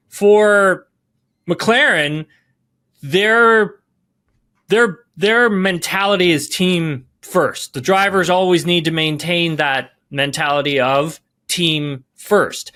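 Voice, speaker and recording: A man's voice: high-pitched, sharp tone. A man giving podcast sports analysis, emphatic, rephrasing and repeating key words as he goes.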